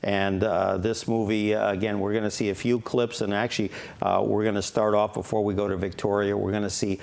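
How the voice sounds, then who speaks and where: A man hosts an interview in a TV studio. His voice sits a little low. He fills his pauses with "uh" as he works through each sentence. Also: flat delivery, creaky, a little mellow. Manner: halting, many "uh" fillers. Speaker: talk show host.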